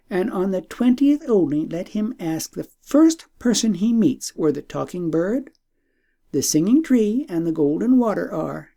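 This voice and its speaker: A man voicing a devout, knowing old woman in a storybook, speaking with courteous, deliberate formality. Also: high-pitched, gravelly, mellow, exaggerated voice. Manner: formal, deliberate, ornate speech.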